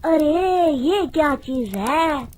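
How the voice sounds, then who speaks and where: A woman's voice: sits high, expressive, extremely slow, darkish tone, a little hiss or hum, exaggerated voice. A woman voicing an anxious male village farmer in a cartoon story, talking in alarmed exclamations. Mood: startled.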